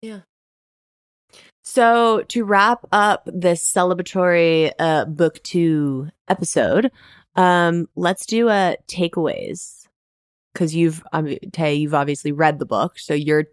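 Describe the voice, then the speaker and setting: Woman, podcast host, low-pitched, smooth and resonant, casual, candid, sweary. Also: slow pace, no room sound, clean studio sound. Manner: candid, profane.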